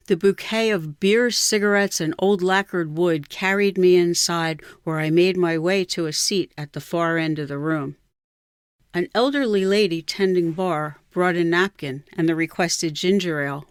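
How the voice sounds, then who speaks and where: An older woman reads a scripted personal narrative. Her voice is smooth and resonant, recorded close and dry. She delivers it in a measured, expressive storytelling manner. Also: fairly low pitch, no echo. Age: 60s or older. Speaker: writer reading own memoir.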